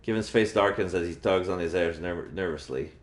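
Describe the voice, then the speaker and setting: Male game streamer, lower register, reading story text aloud between playthrough remarks; echoey room, faint music behind. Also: brisk.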